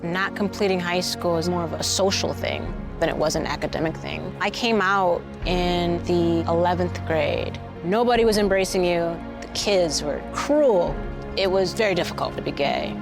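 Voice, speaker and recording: A woman's voice: lowish voice, normal speed, music in the background. A woman in her thirties giving a personal testimonial. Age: 30s.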